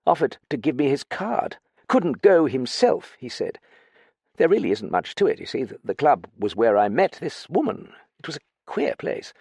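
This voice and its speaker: A male narrator acts out a plump, naive young gentleman in a storybook. The voice is pitched high and swings up and down. He hesitates and stammers, sounding sheepish and a little foolish. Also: creaky, slightly rough, no room sound, clean studio sound, heavily acted. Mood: chatty, awkward. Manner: hesitant, stammering upper-class slang.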